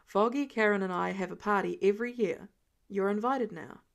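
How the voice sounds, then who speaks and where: A woman voicing a gentle, worried adult male vigilante and protective mentor in an audio story, soft and low-key. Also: moderate pace, gravelly, close to an everyday voice. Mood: fond, reassuring.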